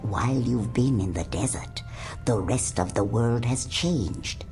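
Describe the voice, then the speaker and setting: An ancient whispering prophetess. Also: female voice, very deep voice, animated, warm tone, heavily acted. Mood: solemn. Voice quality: breathy, whispery delivery. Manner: slow, solemn, oracular pronouncements. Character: ancient all-seeing female prophet, solemn and grave. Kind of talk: video game cutscene voice acting. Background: orchestral game music.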